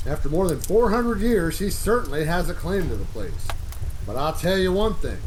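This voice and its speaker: A man's voice: sits high, a little hiss or hum, low-quality recording. An actor playing a brusque, down-to-earth father in a radio play, blustering and dismissive.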